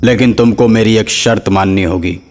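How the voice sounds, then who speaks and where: A man voicing a scheming, demanding village sorcerer in an animated horror story. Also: lowish voice, moderate pace, lightly acted.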